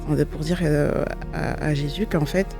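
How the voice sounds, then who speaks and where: A woman's voice: low-pitched, steady tone, normal speed, over music. A woman, giving a personal testimony in a reflective, hesitant way, often correcting herself. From Belgium. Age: 20s. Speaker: guest, law student giving testimony.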